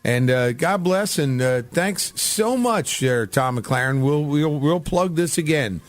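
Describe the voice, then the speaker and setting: A man's voice: fairly high pitch, animated, a little slow, soft background music. A man hosting a radio show, chatting casually and informally with a guest as if with an old pal. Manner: chummy, informal address. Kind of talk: casual radio interview chat.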